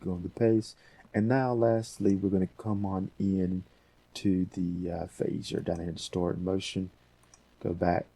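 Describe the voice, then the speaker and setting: A man's voice: low-pitched, flat delivery, measured pace, dark tone, slightly rough. A man hosting a casual audio editing tutorial, thinking aloud in a folksy, rambling way.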